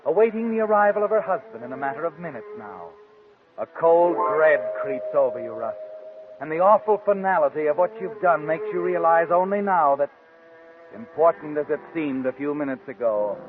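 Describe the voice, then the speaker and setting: A man's voice: fairly high pitch, lightly acted. An actor playing a sinister, all-knowing male narrator in a 1940s radio mystery, with an ominous, knowing delivery. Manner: ominous, knowing, deliberate phrasing. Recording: muffled vintage 1940s radio recording. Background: dramatic music.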